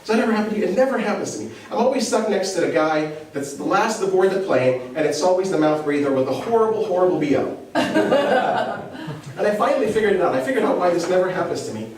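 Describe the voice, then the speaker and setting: A man's voice: high-pitched, big pitch swings, quick pace. A man from Egypt performing a stand-up comedy set, conversational and self-deprecating, often asking the crowd questions. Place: comedy club stage. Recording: echoey club from far off with crowd laughter.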